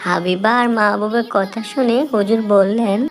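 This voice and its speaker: A woman reading scripted narration for an animated children's moral story. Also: medium pitch, normal speed, faint music behind, natural voice. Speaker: narrator and character voice.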